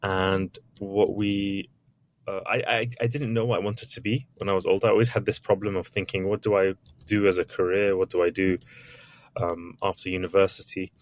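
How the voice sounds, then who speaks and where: Low-pitched man, talks loose and chatty, lots of restarts, guest on a podcast. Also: level tone, normal speed. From Iraq, raised in England. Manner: candid, chatty, with many restarts and little acted quotes. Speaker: author and entrepreneur guest. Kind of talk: interview answers, storytelling.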